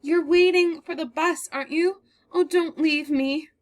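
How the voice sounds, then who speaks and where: A woman's voice: sits high, moderate pace, bright, forceful tone, pitched above the performer's own voice. A woman voicing a terrified young woman who has fled a killer, breathless and pleading as she reads a play aloud.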